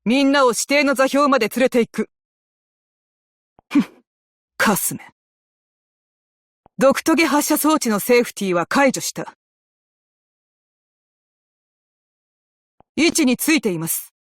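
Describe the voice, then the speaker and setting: A woman playing a composed, dutiful female naval officer in a game, speaking with measured, formal military politeness. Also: high voice, lively intonation, no echo, clean studio sound. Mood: firm, commanding, then cold, contemptuous. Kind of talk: scripted game character voice lines in Japanese.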